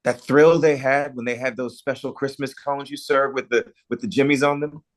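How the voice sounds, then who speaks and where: A man's voice: medium pitch, fairly even intonation, normal speed, close to an everyday voice. An actor reading an earnest, nostalgic small-town man in a holiday romance table read. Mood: heartfelt.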